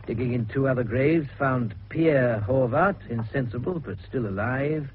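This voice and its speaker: An actor playing a gruff, sceptical middle-aged police investigator in a radio detective drama, British and brusque in manner. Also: male voice, medium pitch, lively intonation, normal speed, natural voice. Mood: reluctant, dry reading. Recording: tinny, noisy radio recording.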